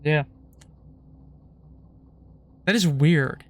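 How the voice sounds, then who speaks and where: A young man on a stream, explaining technical points in a dry, deadpan way with puns. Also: a little high, extremely slow. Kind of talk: casual chat while gaming.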